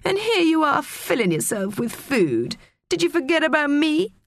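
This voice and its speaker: A woman's voice: high voice, very animated, moderate pace, voice pushed up. A woman voicing a spoiled young girl in a storybook, whining and petulant. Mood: whiny, bored. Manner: whiny, petulant.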